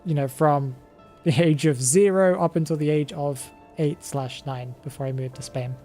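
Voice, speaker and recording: Brief: British live streamer, relaxed and chatty, mid-pitched voice, soft music underneath. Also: normal speed. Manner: chatty, chuckles often, thinks aloud. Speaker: game streamer. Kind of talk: live-stream chat reading viewer comments.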